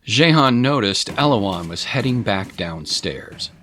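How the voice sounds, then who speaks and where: A man's voice: fairly low pitch, lively intonation, slow pace, sharp tone, slight background noise. A man narrating a scripted dramatic story in the manner of an audiobook.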